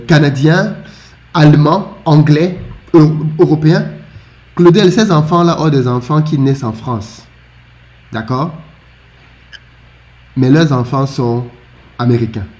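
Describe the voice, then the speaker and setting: A man from Africa talking in a casual discussion, animated and often stumbling over repeated words as he restarts. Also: medium pitch. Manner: animated, with frequent stammered restarts.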